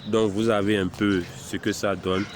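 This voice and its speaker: A man with a cold walking through a software tutorial step by step, hesitant and unhurried as he clicks through each setting. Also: fairly low pitch, little pitch movement, background noise. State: has a cold. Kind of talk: screen-recorded software tutorial.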